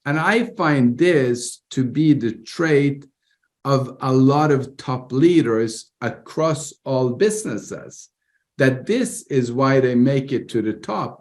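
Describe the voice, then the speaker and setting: Norwegian-accented male co-host, mid-pitched, earnest, explains at length, laughs easily. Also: darkish tone. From Norway. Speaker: podcast co-host. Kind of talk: casual conversation.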